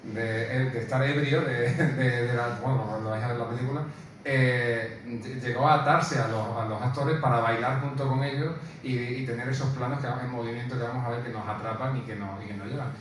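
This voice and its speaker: Co-presenter, male, mid-range voice, halting informal explanations. Distant mic, strong reverberation. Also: medium pitch, fairly even intonation. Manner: hesitant, searching for words, repeats himself. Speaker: film screening co-presenter. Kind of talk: informal spoken commentary on a film. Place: indoor room, presenting to an audience. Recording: very echoey room, distant mic, faint noise.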